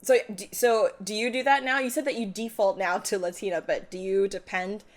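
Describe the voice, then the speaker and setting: A woman's voice: mid-range voice, fairly even intonation, brisk. A woman who grew up in Hong Kong, co-hosting a casual conversation and asking chatty, curious questions. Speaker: co-host.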